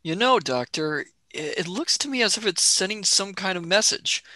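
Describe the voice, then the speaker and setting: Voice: medium pitch, lively intonation, moderate pace, pushed and bright, dry recording. An actor playing a sceptical, wary young male space traveller in a radio play.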